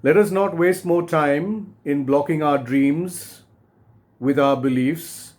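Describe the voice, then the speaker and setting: Male author reading his book aloud. Slightly high-set voice, very unhurried, deliberate phrasing, addresses the listener directly. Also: darkish tone. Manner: deliberate, short phrases with pauses, rhetorical questions.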